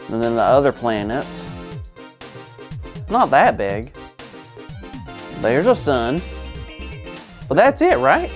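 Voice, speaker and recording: Eager goofy male host for kids, wild swoops in pitch, gushing. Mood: amazed. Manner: bubbly, overexcited, easily amazed. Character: goofy enthusiastic male kids' show host. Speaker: host of kids' Bible show. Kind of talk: children's Bible show comedy.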